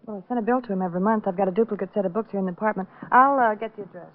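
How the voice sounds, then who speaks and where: An actress playing a composed, dryly flippant shop owner in an old-time radio crime drama. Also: female voice, mid-range voice, rapid-fire, close to an everyday voice. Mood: dry, casual. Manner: composed, dry. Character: cool, flippant woman running a hair-treatment shop. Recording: thin, narrow vintage radio recording.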